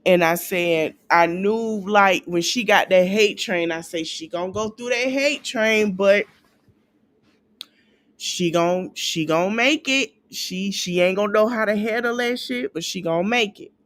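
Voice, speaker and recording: A woman podcast host giving blunt, casual commentary in a conversational, drawn-out way. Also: mid-range voice.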